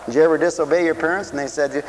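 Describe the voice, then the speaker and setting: A man preaching a sermon for radio, who swings from loud, stretched-out lines to quiet asides and acts out other people's voices. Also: fairly high pitch, fairly even intonation, rapid-fire, poor audio. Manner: dramatic swings from shouting to near whisper, acts out voices.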